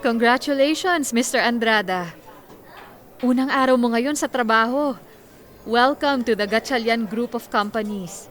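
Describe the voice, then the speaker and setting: An actress playing a kind but firm young office worker in a Filipino radio drama, friendly and assertive. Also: female voice, fairly high pitch, a little slow, close to an everyday voice. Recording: music bed under dialogue.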